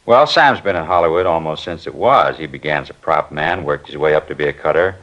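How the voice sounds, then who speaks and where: A man's voice: a bass voice, fairly quick, fairly bright tone. An actor playing a talkative film studio worker who rambles through his stories as a witness in a 1950s radio crime drama. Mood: chatty. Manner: chatty, rambling storyteller. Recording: muffled old radio recording.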